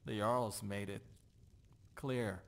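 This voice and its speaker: A man's voice: on the low side, fairly even intonation, takes it slowly, vocal fry. An actor playing a gloomy, disillusioned nobleman in a fantasy video game, brooding and questioning himself. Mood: weary, uncertain. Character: dour, disillusioned Nordic nobleman doubting his purpose. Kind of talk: video game character dialogue.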